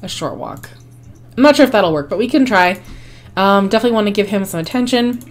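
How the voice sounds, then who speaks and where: A woman hosting a life-sim game video, her voice hoarse and fading, chatting casually with frequent ums and small laughs. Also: medium pitch, lively intonation, quick pace. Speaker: gaming channel host. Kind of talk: casual gameplay commentary.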